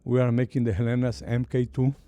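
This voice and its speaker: A man with a non-native English accent gives an unscripted product presentation as a company chief, haltingly and searching for words. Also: mid-range voice, little pitch movement, mellow, no room sound.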